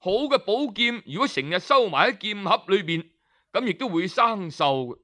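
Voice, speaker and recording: A man's voice: high-pitched, very animated, moderate pace, sharp tone, full character voice. A man voicing two ambitious young male disciples pleading earnestly with their teacher. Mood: eager, pleading.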